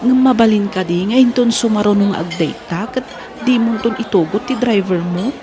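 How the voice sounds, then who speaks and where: A woman's voice: medium pitch, normal speed, over music, close to an everyday voice. A woman with a Filipino accent playing a coy, demanding mistress who poses as a caring friend in a radio comedy drama. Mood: coy, complaining.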